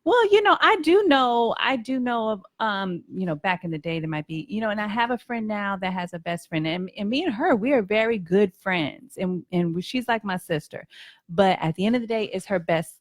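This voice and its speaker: A woman's voice: mid-range voice, expressive, normal speed, no echo, clean studio sound. A woman hosting a radio talk show, chatting candidly and earnestly and repeating phrases for emphasis. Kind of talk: candid casual chat. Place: radio studio.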